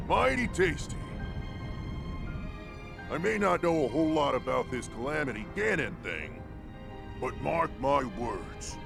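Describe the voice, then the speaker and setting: A man's voice: medium pitch, creaky. An actor playing a jovial, hulking male Goron champion who eats rocks, with a boisterous folksy manner, in a video game cutscene with music behind.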